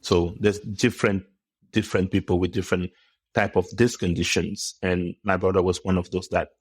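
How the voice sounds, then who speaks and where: A middle-aged man from Cameroon, a podcast guest speaking in a thoughtful, measured way. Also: low voice, flat delivery, breathy edge, dry recording. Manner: thoughtful, measured, with pauses and restarts. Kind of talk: conversational interview.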